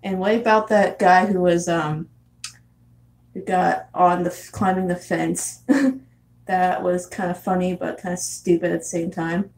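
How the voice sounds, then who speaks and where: A young woman in her twenties, originally from San Diego, talks through a relaxed vlog, reading viewer comments and chatting. Her voice sits fairly low with a warm, mellow tone and a strong gravelly fry. She laughs often and leans on plenty of "um"s and "like"s. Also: measured pace, poor audio. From San Diego, California. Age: 20s. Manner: chatty, frequent laughs and fillers. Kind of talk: casual live chat reading comments. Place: house in Arizona.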